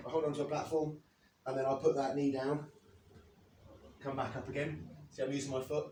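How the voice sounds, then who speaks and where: A man in his thirties, slightly hoarse after losing his voice, explaining and demonstrating leg exercises step by step as a fitness coach. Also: medium pitch, a little mellow, slight background noise. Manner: plain, step-by-step coaching while demonstrating moves. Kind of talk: instructional workout demo. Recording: echoey, from a distance.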